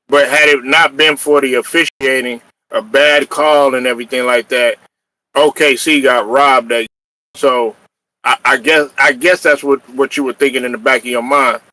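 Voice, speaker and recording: A man hosting a casual sports talk show, animated and trash-talking, often laughing and hollering. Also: medium pitch, normal speed, dry recording, rough recording. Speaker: podcast host.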